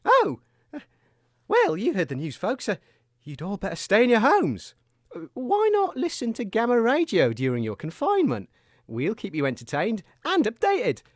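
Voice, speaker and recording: A man's voice: high-pitched, wildly swooping pitch, normal speed, dry recording, studio quality, heavily acted. Man playing a flustered, wildly swooping radio anchor. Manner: fussy, flustered, eager. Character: flustered, excitable male local radio anchor. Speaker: radio host. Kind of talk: comic radio acting.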